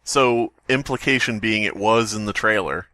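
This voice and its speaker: A man's voice: lowish voice, moderate pace, pushed and bright, no room sound. A man co-hosting a podcast, chatting casually and riffing jokingly as he picks apart stories. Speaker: podcast co-host. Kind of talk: casual comedic chat and story critique.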